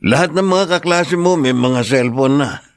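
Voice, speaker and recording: A man's voice: on the high side, a little slow, no echo. Hot-headed, doting father, dry close sound. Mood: remorseful, tender. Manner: pleading, blustering. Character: hot-headed, doting, remorseful working-class father of a teenager. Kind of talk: radio drama acting.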